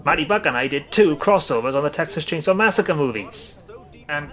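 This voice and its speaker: A man playing a defensive, excitable horror fan in a comedic audio drama. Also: a little high, a little fast. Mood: conceding.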